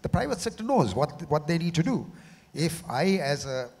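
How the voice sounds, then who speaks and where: Hoarse Sri panelist, middle-aged man, measured and halting, long hall reverb. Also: fairly high pitch, big pitch swings, a little mellow. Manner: measured, often repeats words as he restarts. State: slightly hoarse from a cough. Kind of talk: panel discussion. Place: large conference hall.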